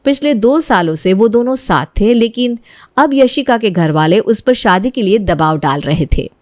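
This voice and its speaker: A woman's voice: medium pitch, expressive, normal speed, no echo, studio quality. A woman narrating a family drama story in a scripted, dramatic storytelling style.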